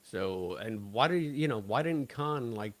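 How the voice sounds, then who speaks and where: A middle-aged man with an American accent talks casually as a podcast co-host. His voice sits at an ordinary middle pitch, and his delivery is dry and joking, like relaxed banter between friends. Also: measured pace. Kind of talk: casual banter about a show.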